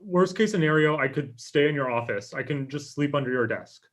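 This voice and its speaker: An earnest young man in his twenties, acted by a man, with a fairly high voice. He is anxious, eager to please and tends to ramble in this table-read comedy. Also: lively intonation, fairly quick, lightly acted. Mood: eager, friendly. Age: young adult. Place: room at home, indoors. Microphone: video call.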